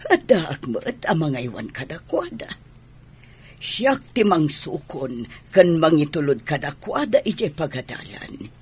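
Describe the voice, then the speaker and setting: A woman playing an elderly, doting mother-in-law in an Ilocano audio drama, pleading and soothing in a husky, breathy voice. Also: low voice, big pitch swings, a little slow, full character voice.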